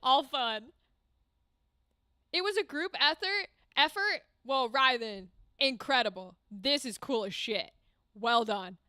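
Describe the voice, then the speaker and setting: A young American woman streaming a game, reacting excitedly and swearing freely as she plays. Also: high-pitched, takes it slowly, clean tone, dry recording, studio quality. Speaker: streamer playing games. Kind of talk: live game commentary.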